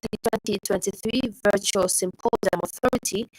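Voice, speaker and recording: A woman with a Zambian accent reading a formal radio news bulletin in a measured delivery. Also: mid-range voice, steady tone, a little mellow, no echo, faint music behind, rough recording. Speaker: news reader. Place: radio studio.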